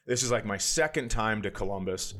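A man's voice: on the low side, fairly quick. A man telling a casual comic story in a self-deprecating way, acting out what people said as he goes. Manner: self-deprecating, animated anecdote telling with acted-out quotes. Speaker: comedian podcast guest telling a story.

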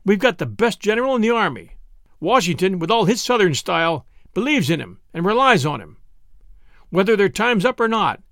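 A man playing an earnest, steady young soldier. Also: sits high, normal speed, no room sound, studio quality, pitched above the performer's own voice, exaggerated voice. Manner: firm, earnest.

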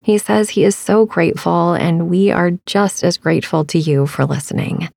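A woman hosting a sleep meditation podcast, narrating softly in a hushed, breathy, soothing way. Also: on the low side, moderate pace, dry recording, clean studio sound. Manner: hushed, breathy, near-whispering delivery.

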